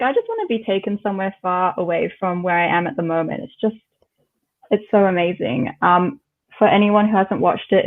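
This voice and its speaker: A woman's voice: on the low side, phone-quality sound. A woman guest chatting casually and enthusiastically on a podcast, giggly, over a thin remote line. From Malaysian Chinese, living in Australia. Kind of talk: casual chat.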